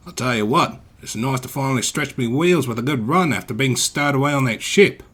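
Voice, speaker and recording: A man's voice: mid-range voice, lively intonation, brisk, a bit bright. A man voicing a friendly, mischievous big steam engine with an Australian accent, casual and slangy, in a storybook tale. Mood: warm, friendly.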